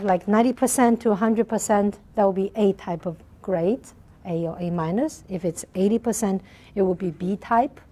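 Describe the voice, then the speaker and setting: A soft-spoken middle-aged woman lecturing on math in a large hall, often checking in with her class. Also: medium pitch. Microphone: lapel mic.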